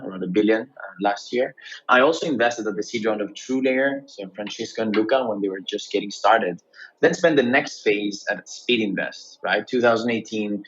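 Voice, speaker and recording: A man's voice: on the low side, fairly bright tone, highs cut off. A man from Greece telling his career story conversationally as an interview guest, often tagging phrases with "right?". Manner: frequent "right?" tags. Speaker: interview guest, venture investor.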